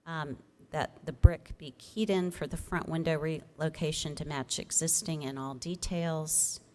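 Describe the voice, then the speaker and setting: A woman staff planner reading a formal report aloud at a public hearing in a measured, procedural way. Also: sits low, fairly even intonation, warm tone. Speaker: staff planner presenting a case. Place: public commission meeting room. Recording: noisy public meeting room microphone.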